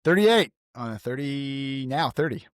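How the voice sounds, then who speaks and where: A man's voice: mid-range voice, animated, measured pace, dry recording. Guy playing a tabletop rpg, chatting about his character, self deprecating, sweary, dry close audio. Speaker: tabletop game player. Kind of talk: casual game-table chat.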